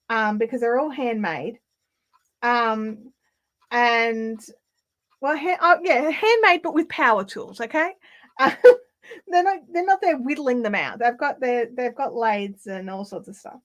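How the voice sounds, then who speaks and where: A woman hosting a casual craft livestream, chatting in a rambling way with lots of fillers and restarts, her throat slightly raspy. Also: high voice. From Australia. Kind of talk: casual live chat while spinning. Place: home craft room.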